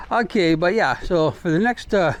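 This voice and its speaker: An American man doing a casual, rambling travel vlog while walking, talking in an informal, folksy way. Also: sits high. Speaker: travel vlogger. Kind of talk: casual rambling walk-and-talk chat. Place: outdoors, walking along city streets. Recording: clear outdoor street and traffic noise.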